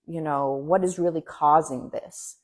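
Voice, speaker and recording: A woman whose first language is Farsi, a guest in a casual interview, talking earnestly and encouragingly in quick bursts. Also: low voice, steady tone, a little mellow.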